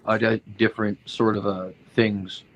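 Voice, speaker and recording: Low-voiced man explaining, a bit foggy. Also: level tone, moderate pace, muffled recording. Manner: explains with examples, hedging, frequent "right?" and fillers. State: recovering from illness, foggy. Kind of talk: casual discussion.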